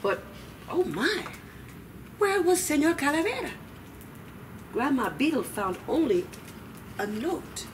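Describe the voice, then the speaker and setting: A middle-aged woman reading aloud to young children, expressive and dramatic, with little asides to her listeners. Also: high-pitched, slow, long pauses. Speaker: library storytime reader. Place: small children's room indoors. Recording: distant mic, noisy room ambience.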